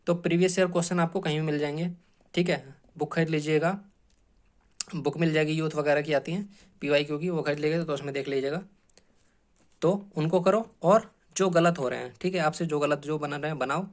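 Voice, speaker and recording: A man's voice: fairly high pitch, fairly even intonation, fairly quick. A man giving informal exam-prep instruction as a coaching teacher, explaining step by step with frequent short check-in tags and English terms mixed in. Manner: explanatory, repeatedly checks in with short tag phrases; mixes English terms into speech.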